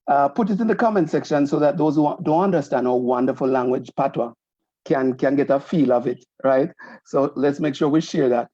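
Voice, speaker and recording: A man hosts a community talk show in an easygoing, explaining way, often restarting his sentences. His voice is mellow and dark. The sound is dry and slightly muffled. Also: mid-range voice, no echo. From Jamaica. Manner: friendly, explains slowly with many restarts. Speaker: host, association president.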